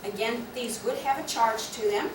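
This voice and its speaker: A woman at a club meeting talks through upcoming plans in a measured, practical way. Her voice sits a little high and comes from far off across an echoing room. There is a steady noise behind her. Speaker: guild officer presenting education plans. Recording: echoey room, distant mic, noisy.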